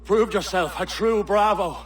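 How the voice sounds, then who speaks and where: A man's voice: sits high, a little slow, slightly rough, recorded from a distance. A man playing a bitter dying pirate in a video game, gasping and reproachful. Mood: bitter, pained. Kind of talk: dramatic video game acting.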